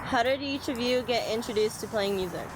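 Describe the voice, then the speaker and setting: A woman's voice: a little high, fairly quick, over loud music, low-quality recording. Woman asking short interview questions. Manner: brief, plain questions.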